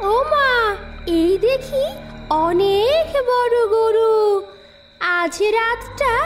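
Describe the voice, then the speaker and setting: A woman voicing a greedy female ghost who mutters slowly and gloatingly to herself about food, with faint music behind. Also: very high voice, exaggerated voice. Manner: slow, gloating, hungry muttering.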